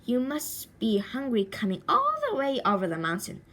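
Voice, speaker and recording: A woman voicing a kindly, brisk storybook aunt who exclaims and fusses with drawn-out emphasis. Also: a little high, wildly swooping pitch, a bit bright, full character voice. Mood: fussing, exclaiming.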